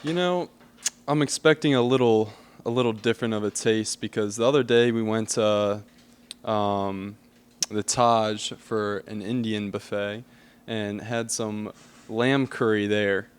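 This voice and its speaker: A man giving casual, measured, understated answers in conversation. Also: lowish voice, steady tone, smooth. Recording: faint background room noise.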